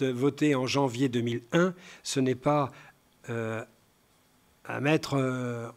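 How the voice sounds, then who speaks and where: A man speaking as a local councillor, giving a formal, partly improvised presentation of a report in a halting way, often searching for words. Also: mid-range voice. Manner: halting, hesitant delivery with frequent searching for words.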